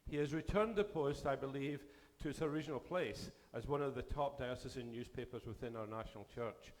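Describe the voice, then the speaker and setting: A man serving as bishop, delivering a formal address to a church assembly while losing his voice, with a measured pace and sentences that trail off. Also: medium pitch, low-quality recording. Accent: Canadian.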